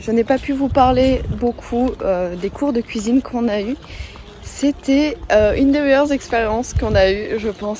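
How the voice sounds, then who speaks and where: A woman chatting casually to her camera as a travel vlogger, relaxed with frequent hesitations. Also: a little high. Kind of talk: casual vlog chat to camera. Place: outdoors by a windy seaside and in public places. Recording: loud wind and outdoor background noise.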